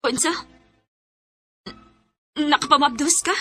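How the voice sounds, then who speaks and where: An emotional young heroine, voiced by a woman. Her voice is high and bright, expressive with every turn of feeling. Performed for a radio drama. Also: measured pace, faint music behind. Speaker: lead character.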